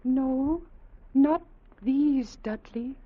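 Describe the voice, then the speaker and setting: Timid childlike future girl, really high n slow, halting broken english, old muffled radio play. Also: husky, heavily acted. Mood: fearful pleading. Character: gentle, childlike, timid young woman of the future. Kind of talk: 1950s radio drama acting. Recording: dull vintage 1950 radio recording.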